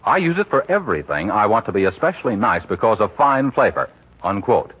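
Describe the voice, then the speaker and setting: A man delivering a scripted 1940s radio announcement with a polished, emphatic sales pitch. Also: very animated, brisk, husky. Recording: dull old radio broadcast recording.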